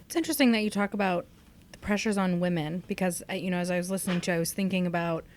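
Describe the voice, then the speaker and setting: Woman, podcast host, lowish pitch, reflective questioning, conversational discussion. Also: fast, slight background noise.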